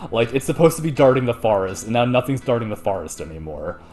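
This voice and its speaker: A man's voice: mid-range voice, sing-song, quick pace, a little mellow, faint music behind. A man hosting a show, retelling a story in casual, slangy, profane and jokey chat. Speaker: host retelling an ancient myth.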